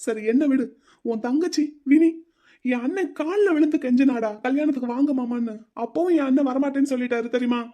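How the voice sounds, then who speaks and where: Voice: sits high, fairly quick, warm tone, highs cut off, lightly acted. A performer voicing a hurt, resentful young groom who talks in an accusing, heated way in an audio drama.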